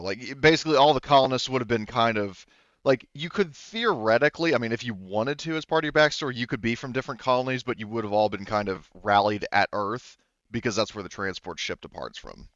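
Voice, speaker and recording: A man's voice: medium pitch, a little fast, fairly bright tone, dry recording, lo-fi sound. A man casually explaining a tabletop game as game master, in an easygoing, informal way.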